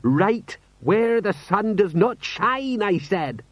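A man's voice: high-pitched, normal speed, no room sound, voice pushed up, lightly acted. A man voicing a boastful but uneasy police sergeant who blusters and repeats himself. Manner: repetitive boasting, uneasy between pride and dread.

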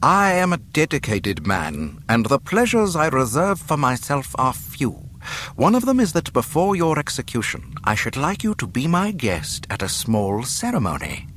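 A man's voice: medium pitch, wildly swooping pitch, moderate pace, a bit bright, slight background noise, voice pushed up. A man voicing a cold, smug imperial governor in a storybook reading, pompous, precise and mockingly courteous.

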